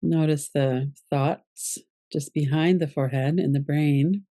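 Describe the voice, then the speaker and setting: A woman teaching yoga nidra, leading a guided relaxation meditation in a calm, gentle, unhurried way. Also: sits low, dry recording.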